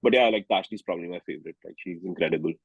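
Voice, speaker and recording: A man with an Indian accent chatting casually as an interview guest, halting and candid as he searches for words. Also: deep voice, breathy edge, no room sound, narrow, tinny audio. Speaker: singer-songwriter guest.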